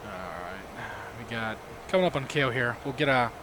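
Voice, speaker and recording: Hoarse man talking through a flight. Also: mid-range voice, expressive, moderate pace, background noise. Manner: talks himself through cockpit steps, chats with viewers. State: losing his voice, hoarse. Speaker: livestream host. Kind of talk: casual commentary while flying a simulator.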